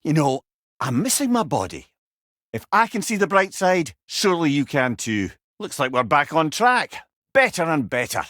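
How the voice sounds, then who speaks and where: A man's voice: a little high, very animated, normal speed, fairly bright tone, dry recording, studio quality. A man with a Scottish accent playing a witty, learned older companion, a talking head in a video game, wry and eloquent with old-fashioned wording. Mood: dryly amused. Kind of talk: video game character dialogue.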